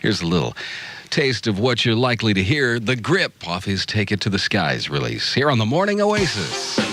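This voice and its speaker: A male radio DJ with a swooping, animated delivery. His voice is captured very dry and close, in the style of music radio announcing. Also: lowish voice, normal speed, dry recording. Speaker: radio disc jockey.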